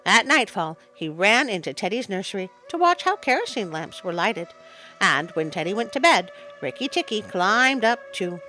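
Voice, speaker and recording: A woman reading a story aloud in an expressive, dramatic storytelling style. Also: medium pitch, normal speed, soft background music, low-quality recording. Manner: dramatic read-aloud, with interjected asides. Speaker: storyteller, show host. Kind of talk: expressive scripted story narration.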